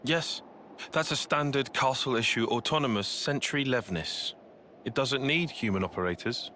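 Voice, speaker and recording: A man playing a serious, level-headed young soldier in a fantasy game, speaking in a measured, explanatory way. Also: medium pitch, pushed and bright, a little hiss or hum, lightly acted. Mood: calm, serious. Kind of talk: English game cutscene voice acting.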